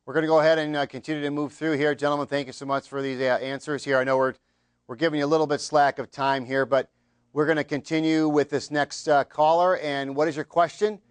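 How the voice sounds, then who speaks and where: A male host moderating a debate and call-in, briskly keeping things moving. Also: on the high side, flat delivery, clean tone, no room sound. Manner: brisk, managing time.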